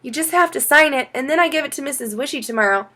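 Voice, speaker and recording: Earnest, easily upset little boy for a kids' audiobook, played by a woman, high and swooping. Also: animated, fast, sharp tone. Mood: eager. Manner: stammering, pleading when upset. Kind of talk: children's audiobook character.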